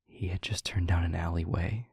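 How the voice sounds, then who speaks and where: A man playing an anguished demon in an intimate audio roleplay, speaking haltingly and hushed, pleading with someone he loves. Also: sits low, steady tone, no room sound, full character voice. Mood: somber confiding. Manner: halting, hushed, breathy near-whisper, pleading. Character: anguished male demon confessing to a loved one.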